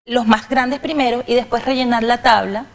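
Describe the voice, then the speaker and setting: A middle-aged woman, a catering guest who explains in halting bits as she assembles food on a TV studio set. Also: a little high, steady tone. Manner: halting, restarts while working with hands. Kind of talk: demonstrating while explaining. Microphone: lapel mic.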